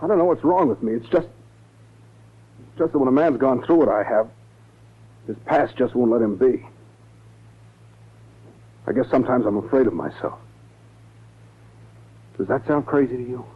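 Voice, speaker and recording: A man's voice: mid-range voice, moderate pace, long pauses, breathy edge, close to an everyday voice. An actor playing a troubled, earnest male ex-convict with a hesitant manner in a 1940s radio drama. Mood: anxious, hesitant. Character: troubled, anxious ex-convict in love, easily swayed. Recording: tinny, narrow 1940s radio recording.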